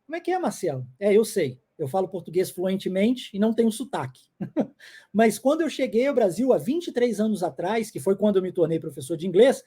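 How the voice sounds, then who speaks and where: A man's voice: high-pitched, very animated, fairly quick, dark tone, husky. A middle-aged man who grew up in the United States and whose first language is English, teaching an online lesson live and checking in often with viewers.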